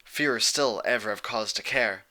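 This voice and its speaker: A man plays a royal pony ruler in a storybook story, a patient elder sister. Her voice is very bright and lifted a little in pitch. She speaks in measured, formal sentences and keeps herself guarded. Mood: reflective. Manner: measured, formal, reflective phrasing. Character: composed, regal elder pony sun princess, patient and guarded.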